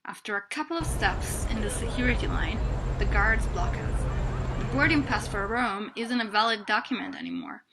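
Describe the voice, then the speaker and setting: A woman's voice: medium pitch, sing-song, normal speed, over loud music. A woman reading a scripted first-person literary piece aloud as narration. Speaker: reader of a literary text. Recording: clearly noisy recording.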